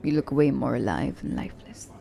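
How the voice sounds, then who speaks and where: Girl doing a cocky assassin lady for asmr roleplay, really gravelly n dark, teasing. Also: rumbling low, big pitch swings, a little fast, exaggerated voice. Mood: teasing, relaxed. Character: confident, self-declared selfish young woman assassin, teasing her captive. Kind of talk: ASMR romance roleplay acting.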